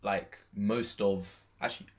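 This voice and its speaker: A man's voice: fairly low pitch, fairly even intonation, a little slow. A man. His delivery is easygoing and slangy, like informal conversation between co-hosts. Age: 20s. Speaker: podcast co-host. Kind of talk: casual chat.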